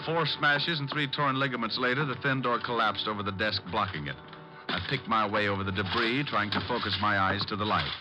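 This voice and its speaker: An actor playing a flippant, sardonic private detective in an old-time radio drama, breezy and quick with putdowns, on an old recording. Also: male voice, fairly low pitch, steady tone, noisy background, natural voice. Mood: wry narration. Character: flippant, wisecracking private detective, cool under threat.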